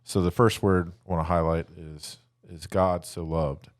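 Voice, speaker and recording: A man's voice: deep voice, steady tone, moderate pace, no room sound, studio quality. A man chatting casually and explaining things in a plain-spoken way, like an informal Bible teacher on a podcast. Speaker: podcast co-host giving a short devotional.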